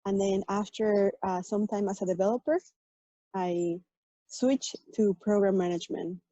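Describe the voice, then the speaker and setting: A woman from Mexico speaks English with a Mexican accent, Spanish being her first language. Her voice is mellow and relaxed at a medium pitch, and she explains things patiently with small restarts. The sound is dry and a bit dull, as from a video call. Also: normal speed, no echo. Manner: earnest, explanatory, with fillers and restarts. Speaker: tech program manager, panel guest. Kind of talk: personal story on a virtual panel.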